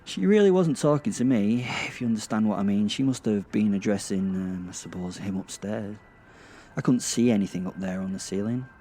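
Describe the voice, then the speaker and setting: Guy doing sweary sardonic werewolf narrator, kinda low voice, rambly n self-mocking, audio drama, noisy ambience behind. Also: warm tone, natural voice. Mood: awed. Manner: rambling, halting, self-mocking, theatrical emphasis. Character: sardonic, foul-mouthed, awkward male werewolf narrator. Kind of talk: dramatic first-person horror-comedy narration. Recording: clear background ambient noise.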